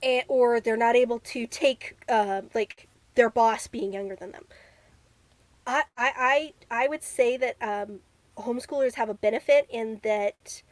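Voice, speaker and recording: A woman's voice: on the high side, a little slow, no room sound. A young woman who was homeschooled, a guest in an interview, talking about growing up in a big family in an earnest, reflective way. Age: young adult. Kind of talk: conversational interview.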